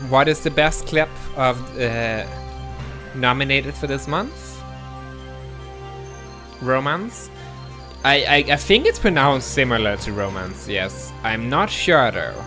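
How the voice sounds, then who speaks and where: A man's voice: mid-range voice, expressive, takes it slowly, pauses often, bright, forceful tone, faint music behind. A man, an English speaker, chatting casually as a live streamer, rambling and self-deprecating with frequent restarts. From Denmark. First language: Danish.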